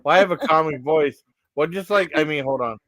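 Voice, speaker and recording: A man hosting a casual live stream, talking in a halting, repetitive, rambling way that often trails off. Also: a little high, a bit bright, no echo.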